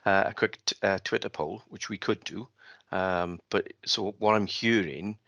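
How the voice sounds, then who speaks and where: A man's voice: low voice, a bit bright, dry recording. A man chairing an online meeting over a video call, talking at length with many restarts and fillers.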